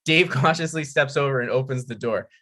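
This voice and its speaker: Guy narrating a script at a table read, bright forceful voice, medium pitch. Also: fairly quick. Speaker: stage-direction reader.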